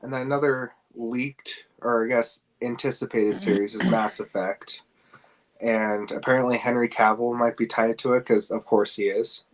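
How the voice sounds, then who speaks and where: A man's voice: mid-range voice, steady tone, moderate pace, low-quality recording. A man co-hosting a podcast, chatting informally and a little hesitantly about pop culture. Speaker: podcast co-host. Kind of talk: casual chat about games and film casting.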